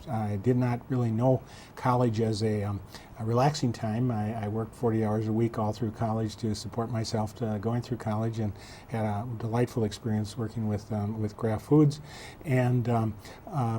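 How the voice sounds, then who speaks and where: Measured man in his sixties. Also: lowish voice, mellow, slight background noise. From south central Minnesota. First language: German. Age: 60s. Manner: measured, halting, many fillers. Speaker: school superintendent guest. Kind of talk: local TV interview.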